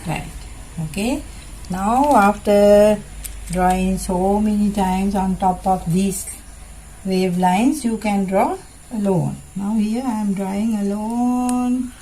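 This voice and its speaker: Female preschool teacher, soft dark tone, extremely unhurried, halting step-by-step instructions for young children. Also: medium pitch, slight background noise, low-quality recording. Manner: halting short phrases, repetitive instruction. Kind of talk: simple children's lesson, demonstrating while talking.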